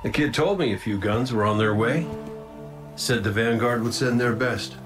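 An actor voicing a roguish, wisecracking gunslinger in a video game, with a folksy, teasing delivery. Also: male voice, medium pitch, normal speed, close to an everyday voice. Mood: wry, teasing. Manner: folksy, teasing drawl with dry quips. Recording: music under the voice.

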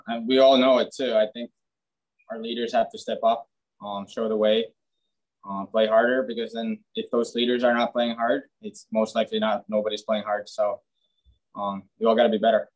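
Young man, low voice, blunt post-game answers, video call from a team media room. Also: flat delivery, normal speed, poor audio. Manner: blunt, plain-spoken, many restarts. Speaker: hockey player. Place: team media room, press backdrop, indoors.

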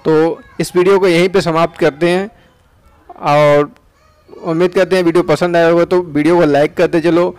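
A man's voice: on the high side, steady tone, moderate pace, clear, resonant tone, no echo. A young man from India with an Uttar Pradesh Hindi accent, casually narrating a ride through town streets outdoors as a chatty vlogger. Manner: chatty, tag questions like "है ना".

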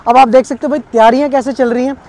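A young man doing casual, animated street-vlog commentary and fan interviews, projecting outdoors. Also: very high-pitched, racing. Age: young adult. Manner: animated, chatty, projecting over a crowd. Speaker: vlogger interviewing cricket fans. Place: outdoors by a road outside a cricket stadium. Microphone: clip-on wireless mic, second one handheld. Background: faint crowd and street sound.

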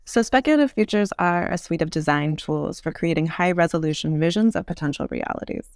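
A woman speaking as an expert interview guest, explaining in long, measured, articulate sentences. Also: on the low side, expressive, darkish tone, no echo, clean studio sound. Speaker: podcast guest, author and urban design expert.

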